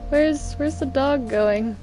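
An excitable, stammering online gamer chatting casually in character during a roleplay game. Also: high-pitched, slow, over music. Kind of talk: casual in-character roleplay chat while gaming.

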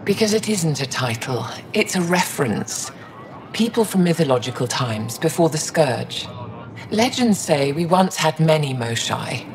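A woman voicing an elderly, wise and wry alien scholar in a video game, speaking in a measured, dignified way. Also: low-pitched, sing-song. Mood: solemn, reflective. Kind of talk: video game dialogue acting.

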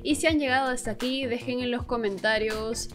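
Young woman, fairly high voice, casual co-host chat, talking to viewers, faint music in the background. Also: steady tone, a little fast, fairly bright tone. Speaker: co-host of an online chat show.

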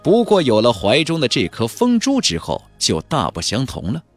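A man narrating a fantasy audiobook in a measured, theatrical storytelling delivery. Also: medium pitch, wildly swooping pitch, no echo, faint music behind. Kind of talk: dramatic scripted narration of a fantasy novel.

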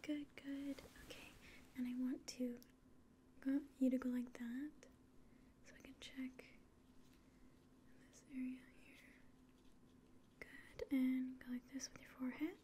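A woman in her twenties doing a whispered ASMR roleplay in a soft, breathy, gentle and reassuring voice, close to the mic. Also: sits high, little pitch movement, slow, long pauses, a little hiss or hum. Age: 20s. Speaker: ASMR performer playing an alien medical examiner. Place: small indoor room.

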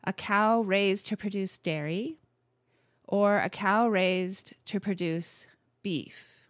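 A woman's voice: medium pitch, dry recording. Dry-voiced woman hosting a radio talk. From Montana. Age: 40s. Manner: friendly, unhurried, many ums. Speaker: host.

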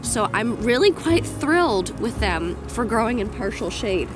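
A woman's voice: fairly high pitch, big pitch swings, unhurried, over music. A woman in her thirties giving an enthusiastic garden tour for her homesteading vlog, recorded outdoors in a garden. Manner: enthusiastic, gushing about plants. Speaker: homesteading vlogger.

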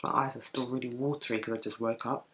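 A young woman with a deep early-morning voice, chatting through a makeup tutorial at home while she works on her eyes. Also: steady tone, fast talker, fairly bright tone. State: just woken, deep morning voice. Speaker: beauty vlogger. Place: home room with bookshelves, indoors.

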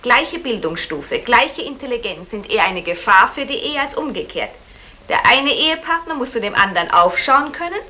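An actress playing a headstrong, disappointed young wife in an old German radio comedy, with pointed, emphatic delivery. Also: female voice, a little high, big pitch swings, moderate pace, sharp tone, slight background noise. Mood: self-assured.

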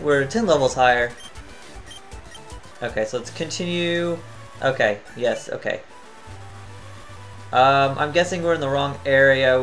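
Man, tired, casual gameplay commentary, chatty, thinks aloud, faint background music. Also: mid-range voice. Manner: chatty, thinks out loud, hums to himself. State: tired, voice near cracking. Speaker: gaming channel host.

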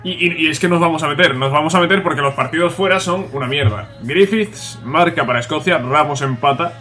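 A man talks through a football game in a bright, forward voice. His throat is sore, and he keeps up a casual, grumbling, repetitive commentary. Faint music plays behind him. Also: on the high side, a little fast. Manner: chatty, grumbling, repeats himself. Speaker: gaming YouTuber.

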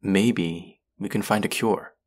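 A man's voice: on the low side, fairly even intonation, slow pace. A young man acting as an earnest, wry young vampire in an intimate audio roleplay drama. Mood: earnest pleading.

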